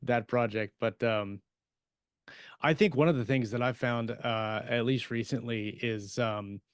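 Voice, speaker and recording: Man, podcast co-host, crisp smooth voice, medium pitch, halting casual talk, dry recording. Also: steady tone, dry recording. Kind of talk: casual chat.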